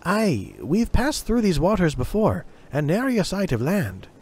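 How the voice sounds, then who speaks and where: An eager young apprentice sailor, voiced by a male narrator in a lifted, brighter register. He speaks earnestly, with a lively, husky edge, like a storybook character. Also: high-pitched, moderate pace, voice pushed up, full character voice.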